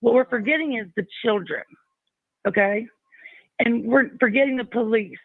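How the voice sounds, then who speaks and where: A man's voice: very high voice, normal speed, gravelly, no echo. A man calling into a live show, insistent and rambling, talking over the host. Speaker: caller. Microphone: phone. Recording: phone line, dry.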